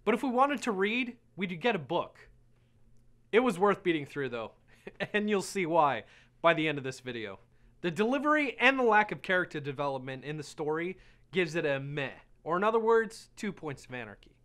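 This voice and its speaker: Male reviewer, high voice, very animated, wry. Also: moderate pace. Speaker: game reviewer. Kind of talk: casual review narration.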